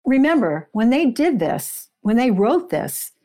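Mellow-voiced woman explaining as an interview guest. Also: a little high, big pitch swings, measured pace. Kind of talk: conversational explaining and retelling.

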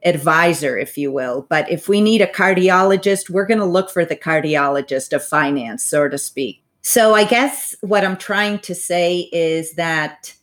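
Low-voiced woman, thoughtful and measured. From United States, parents from Bolivia. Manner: thoughtful, measured, with frequent "you know" asides. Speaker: financial advisor, interview guest. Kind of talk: reflective interview answers.

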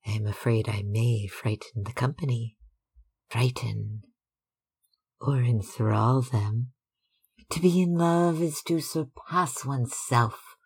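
A man's voice: medium pitch, slow, darkish tone, slightly rough, full character voice. A man voicing an eager, lovestruck young actress in an audiobook, gushing and exclamatory. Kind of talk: audiobook dialogue reading.

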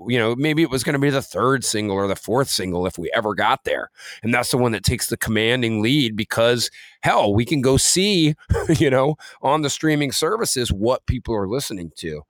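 A man's voice: medium pitch, a bit bright, no echo, clean studio sound. A man co-hosting a podcast in a casual, conversational way, often dropping in "you know" as he talks. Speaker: podcast co-host, music career coach.

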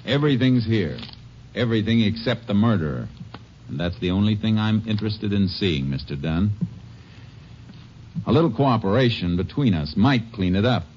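An actor playing a steady, laconic Texas Ranger in a 1950s radio drama, who also narrates. He talks in a terse, low-key, determined way. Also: normal speed, slight background noise, lightly acted. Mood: firm. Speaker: lead actor and first-person narrator. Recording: muffled vintage radio broadcast.

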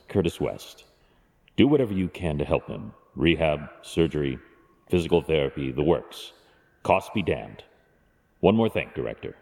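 A man playing a stern, brooding masked vigilante in an audio drama, speaking gravely in clipped, commanding phrases with a gravelly voice. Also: extremely low pitch, darkish tone. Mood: firm, businesslike. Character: brooding, stern adult male masked vigilante and wealthy guardian. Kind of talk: fan audio drama acting. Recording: long hall-like reverb.

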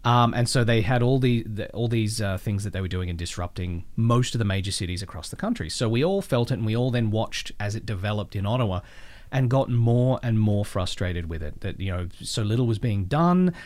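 A man's voice: on the low side, expressive, fairly quick, darkish tone. A man chatting casually as an interview guest, telling his story with asides. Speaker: voice actor guest.

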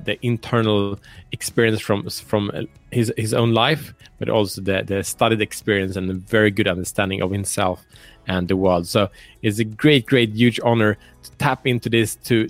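Low-voiced male host, close dry sound. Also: no room sound. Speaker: podcast host interviewing a guest. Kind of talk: motivational show introduction and interview.

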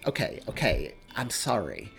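A man's voice: lowish voice, wildly swooping pitch, a little slow, vocal fry, a bit bright, slightly rough, faint music behind, exaggerated voice, rough recording. A man voicing an exasperated, defensive young man with a sarcastic edge in dramatized audiobook dialogue.

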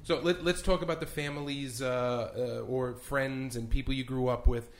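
A man's voice: medium pitch, fairly even intonation, normal speed. A man in his forties hosting a podcast interview, often stopping to restart his sentences. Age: 40s. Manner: hesitant, many fillers and restarts. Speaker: podcast host.

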